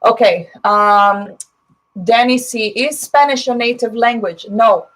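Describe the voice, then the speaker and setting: Chatty Brazilian woman streamer, higher voice. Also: slow pace, fairly bright tone, poor audio. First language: Portuguese. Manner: chatty, opinionated, frequent fillers. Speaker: live stream host. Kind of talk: casual live chat reading viewer comments.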